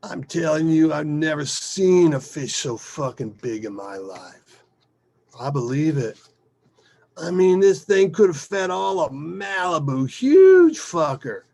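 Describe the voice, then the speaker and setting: A man voicing a rough, animated father who reminisces fondly in an emphatic, colloquial way, within a dramatic story. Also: a little high, slow, voice pushed up, heavily acted. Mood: boastful, amazed. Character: rough, foul-mouthed, animated father reminiscing fondly about his daughter.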